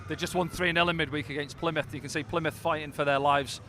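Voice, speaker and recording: Fast-talking man, pitchside pundit. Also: fairly even intonation, background noise. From Wales. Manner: chatty, filler-heavy, upbeat. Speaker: pundit, former player. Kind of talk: casual pre-match punditry. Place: outdoors pitchside at a stadium.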